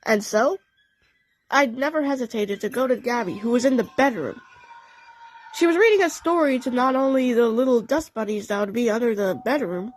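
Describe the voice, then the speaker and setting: A woman's voice: fairly high pitch, normal speed, dry recording, faint music behind, rough recording. A woman narrating a story dramatically in the first person, as in an audio play. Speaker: narrator and voice actor.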